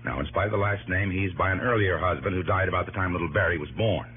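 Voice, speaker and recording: A man's voice: low voice, quick pace, phone-quality sound, close to an everyday voice. An actor playing a harried, dry insurance company man in a 1960s radio drama. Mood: grave, explanatory. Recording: thin, noisy old radio broadcast.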